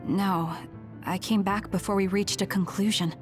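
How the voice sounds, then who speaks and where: A woman's voice: medium pitch, fairly even intonation, normal speed, over music, lightly acted. A woman playing a reserved, serious young soldier in an English game dub, speaking in a terse, restrained way. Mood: guarded.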